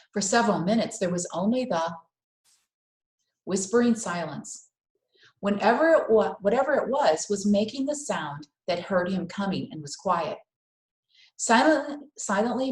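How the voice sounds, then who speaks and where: Female storyteller, mid pitch, reading children's fiction aloud with careful expression and pauses; echoey room recording. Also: darkish tone. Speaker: read-aloud host. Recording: roomy, echoing indoor sound.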